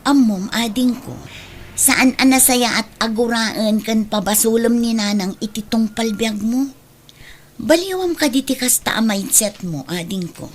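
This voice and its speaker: A woman's voice: fairly high pitch, unhurried. A woman playing a caring but reproving elder sister in an audio drama, earnest and admonishing, with an Ilocano accent. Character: caring, reproving elder sister who defends her mother.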